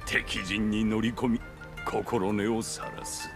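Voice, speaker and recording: An actor playing a solemn, humble, dutiful samurai lord in a video game, with formal archaic speech. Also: male voice, lowish voice, steady tone, slow, music in the background.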